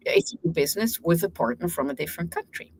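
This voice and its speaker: A woman's voice: lowish voice, animated, fast, darkish tone, slight background noise, rough recording. German-speaking woman, frank storyteller. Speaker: guest lawyer. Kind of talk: casual interview talk.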